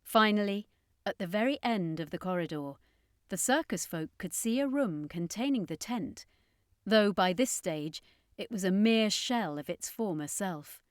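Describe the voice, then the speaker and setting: A woman's voice: medium pitch, expressive, unhurried, no room sound, studio quality. A woman reading a scripted adventure story as an audiobook narrator, in a dramatic storytelling style.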